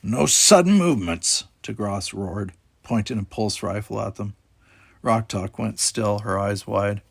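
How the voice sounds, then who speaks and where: A man's voice: lowish voice, little pitch movement, a little slow, creaky, slightly rough, dry recording. A man reading a children's sci-fi story aloud as scripted audiobook narration. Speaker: audiobook reader.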